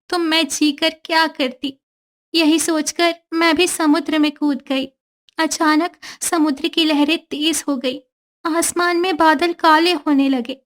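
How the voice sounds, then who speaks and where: A woman voicing a gentle, sorrowful young mermaid girl telling her own story in a cartoon. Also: high-pitched. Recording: faint background music.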